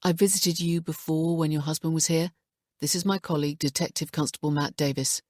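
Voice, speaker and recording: A calm female detective, gentle and measured, speaking in a low, husky tone, as a woman reading the story puts her on. The sound is close and dry. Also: no room sound.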